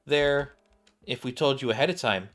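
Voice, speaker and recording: A man's voice: medium pitch, normal speed, fairly bright tone. A man reading video game dialogue aloud as a streamer, acting out parts and sometimes stumbling over lines and rereading them. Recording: music playing behind the voice.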